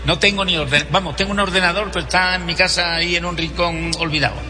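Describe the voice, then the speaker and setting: An older Spanish-accented man talks with a chatty, effusive warmth and a bright, fairly high voice. He sounds like he is in a reverberant hall, with faint music underneath. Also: steady tone, fast. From Spain. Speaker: guest singer and voice teacher. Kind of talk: casual radio chat.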